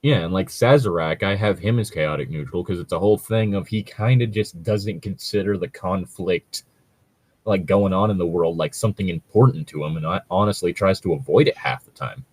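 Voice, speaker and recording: Male co-host, lowish pitch, dry close sound, husky edge, rambling casual gamer chat with frequent swearing. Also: fairly quick, a little mellow, no echo. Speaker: co-host reading and discussing stories. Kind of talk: casual chat about tabletop role-playing.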